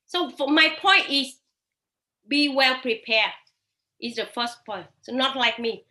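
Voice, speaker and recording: Bright, high-voiced woman giving webinar advice. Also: takes it slowly. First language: not English. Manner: hesitant non-native phrasing with frequent fillers and restarts. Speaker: webinar speaker sharing study-abroad experience. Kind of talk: live webinar talk. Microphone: video call.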